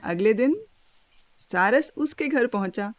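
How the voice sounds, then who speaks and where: A woman's voice: fairly high pitch, lively intonation, slow, dry recording. A woman storyteller reading a scripted moral fable as narration. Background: faint music.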